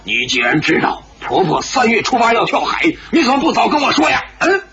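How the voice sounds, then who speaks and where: A man's voice: very high voice, slow, highs cut off, over a noisy crowd, voice pushed up. A man voicing a crazed, quarrelsome old martial-arts master in a dramatised wuxia story, frantic, lamenting and scolding. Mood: furious. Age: older.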